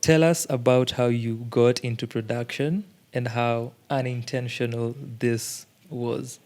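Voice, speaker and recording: An interviewer, asking questions in a measured way and reading back the guest's earlier words. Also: mid-range voice, steady tone, a little mellow.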